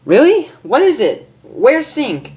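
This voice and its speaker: A man voicing an excitable, dramatic, lovestruck young female pegasus pony in a story reading. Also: extremely high pitch, slow, pitched above the performer's own voice, heavily acted. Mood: eager. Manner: yelping, emotional, dramatic.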